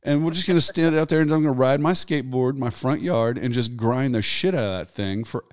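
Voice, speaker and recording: A man's voice: mid-range voice, brisk, dry recording, clean studio sound. A man, hosting a casual podcast and telling stories in a laid-back, profane way. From Texas. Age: 40s.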